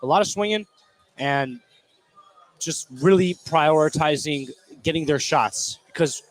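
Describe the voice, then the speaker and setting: A man with a somewhat high voice works as color commentator on a live basketball broadcast. His delivery is casual and informal, made of interjected reactions and bits of analysis. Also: unhurried, rough recording. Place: indoor basketball game, live.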